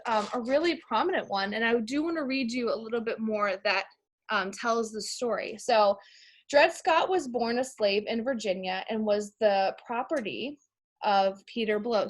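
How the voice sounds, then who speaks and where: An American woman reading aloud to young schoolchildren as a teacher, informal and explanatory, addressing them as friends. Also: a little high. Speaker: elementary school teacher reading a picture book to pupils. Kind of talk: read-aloud lesson for young children.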